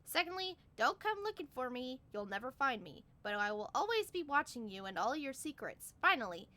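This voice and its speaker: A woman's voice: high voice, very animated, a little fast, pushed and bright. A woman streaming a game live, chatting casually, reacting and reading the dialogue along aloud.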